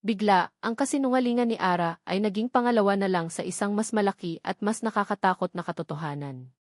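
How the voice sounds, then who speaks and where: A woman narrates a dramatic audiobook in an emotive storytelling manner. Her pitch sits in the middle for a woman. The sound is dry, with very little room around it. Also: fast, no room sound.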